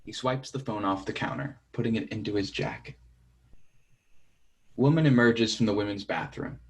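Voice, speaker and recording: A man's voice: on the low side, normal speed. A man reading out stage directions as scripted narration for a play reading.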